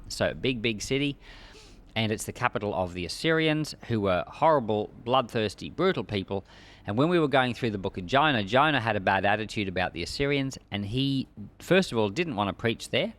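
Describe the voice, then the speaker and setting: A man preaching and teaching from the Bible in an emphatic, conversational way. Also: fairly low pitch. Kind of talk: Bible reading and informal expository sermon.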